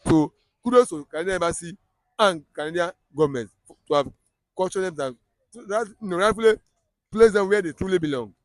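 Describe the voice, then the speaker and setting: A man's voice: sits high, animated, brisk, no room sound. A man with a Yoruba Nigerian accent giving heated political commentary, emphatic and repetitive, often restarting his sentences.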